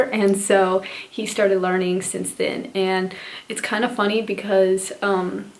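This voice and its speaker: A young woman vlogger, a native Spanish speaker, chatting casually to camera with many fillers and frequent small laughs. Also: medium pitch. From El Salvador.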